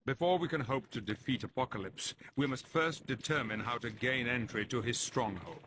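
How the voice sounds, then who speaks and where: A patient, learned professor played by a man in a video game cutscene. He speaks formally and calmly in a middle-range voice, with clear noise in the background. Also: mid-range voice, close to an everyday voice. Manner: measured, formal.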